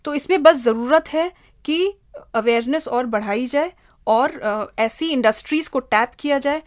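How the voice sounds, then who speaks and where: A woman with a fairly high voice answers interview questions as a consultant. She explains step by step, spelling out terms and offering examples. The sound is close and dry. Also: a little slow, no room sound. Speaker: human resource consultant, interview guest.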